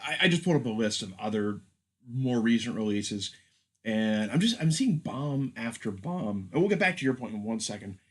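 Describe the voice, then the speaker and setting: A man's voice: medium pitch, lively intonation, moderate pace. A man speaking casually as a podcast host, steering an informal discussion.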